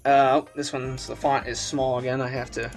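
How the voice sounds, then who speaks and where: A man's voice: mid-range voice, flat delivery, moderate pace, faint music behind. A man hosting a casual reaction commentary, giggly and loosely profane in the way he talks. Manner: giggly, often laughing as he talks. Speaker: host of a meme review channel.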